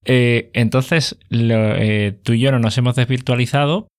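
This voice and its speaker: A man's voice: mid-range voice, steady tone, measured pace. Chatty man with a Spain Spanish accent. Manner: chatty, explains at length with many fillers. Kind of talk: casual conversation.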